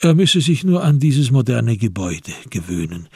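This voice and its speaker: A man narrating a literary radio drama in a measured, grave and deliberate manner. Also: mid-range voice, sing-song, darkish tone, husky, dry recording, studio quality.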